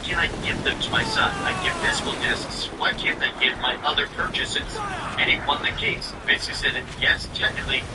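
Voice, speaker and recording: A man's voice: fairly high pitch, wildly swooping pitch, brisk, distant mic, heavy background noise. A man giving opinionated commentary on video games. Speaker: gaming commentator.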